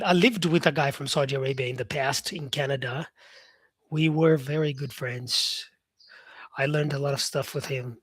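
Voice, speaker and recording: A fairly high-voiced man hosts a live English lesson and chats loosely with viewers. He reads out their comments and talks with plenty of fillers. His voice is close to the mic and sounds dry, with no room echo. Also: fairly bright tone. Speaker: English teacher on a live stream. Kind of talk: casual live-stream teaching, talking to viewers.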